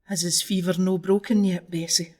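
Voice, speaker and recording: A woman playing an anxious, sympathetic Scottish sister-in-law in a historical audio drama, with a breathy voice. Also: lowish voice, level tone, moderate pace, darkish tone, soft background music, lightly acted. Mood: hushed concern.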